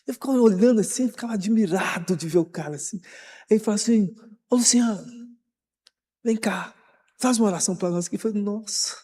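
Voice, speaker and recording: High-pitched pastor with church reverb. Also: male voice, brisk, long pauses, breathy edge. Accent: Brazilian Portuguese. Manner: animated, repeats words, warm encouragement. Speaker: pastor leading a Bible study. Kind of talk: sermon, informal. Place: church hall.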